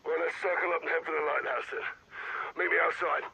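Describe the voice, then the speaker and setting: An actor playing a seasoned, wry special forces captain who gives terse, commanding callouts in a military video game. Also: male voice, on the high side, fairly even intonation, fast talker, creaky, sharp tone, husky, exaggerated voice, low-quality recording. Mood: commanding, urgent. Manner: clipped, dry, commanding. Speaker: squad leader.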